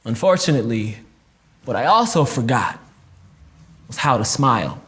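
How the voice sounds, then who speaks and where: An actor playing a wounded, reflective man recounting a painful childhood memory in a theatrical memoir monologue, with deliberate pauses. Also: medium pitch, big pitch swings, a bit bright. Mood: reflective, sombre. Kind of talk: filmed dramatic monologue reading, first-person memoir.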